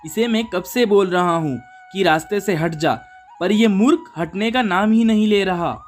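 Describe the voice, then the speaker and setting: A man's voice: sits high, moderate pace, a little hiss or hum, voice pushed up, natural voice. A man voicing a big, short-tempered elephant in a cartoon animal story, domineering and taunting. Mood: irritated.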